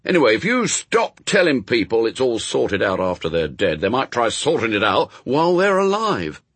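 Man doing a young boy in an audiobook, pitched up, really animated, earnest and stubborn, rambly kid logic. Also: moderate pace, husky, no room sound, heavily acted. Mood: severe, reasoning. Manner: plain-spoken, childlike, run-on argument.

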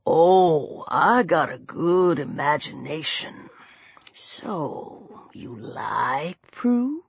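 Woman voicing a low, drawling, menacing older thug. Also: animated, a bit bright, slightly rough, no room sound, dull audio, heavily acted. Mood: lewd, taunting. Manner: snarling, slow drawling. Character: menacing older bearded man, gruff thug, lecherous bully.

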